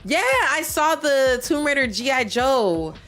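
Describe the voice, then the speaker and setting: A woman with a stuffy nose chatting casually with her viewers while she warms up at a game, rambling and repeating herself. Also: high voice, expressive, measured pace, soft background music. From Michigan. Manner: rambling, repeats herself, chats with stream chat. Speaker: livestreamer playing a speedrun practice.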